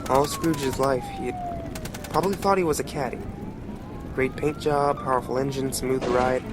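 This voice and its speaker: A man's voice: mid-range voice, wildly swooping pitch, normal speed, noisy background. A man playing a wry, streetwise storyteller, narrating a motion comic in a folksy, reflective, colloquial way. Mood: somber, reflective. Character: wry, streetwise male storyteller musing on death.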